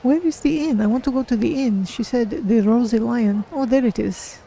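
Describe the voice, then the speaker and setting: A woman voicing a curious, polite cat-person adventurer, musing in measured, formal words as she plays a video game. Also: fairly high pitch, gravelly, mellow, a little hiss or hum, low-quality recording. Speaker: game streamer. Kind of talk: in-character gameplay commentary.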